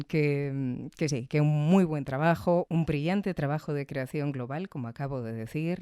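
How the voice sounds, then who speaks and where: Female podcast host, mellow smooth voice, reflective solo reviewer, conversational delivery. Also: low voice, no echo, studio quality. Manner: reflective, frequently seeking agreement with tag questions.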